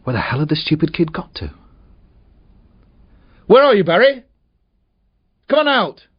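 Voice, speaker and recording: Male narrator as troubled young man, volatile and lonely, husky, wide-swinging pitch, storybook drama. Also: sing-song, unhurried, exaggerated voice. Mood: angry, desperate. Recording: dull, muffled audio.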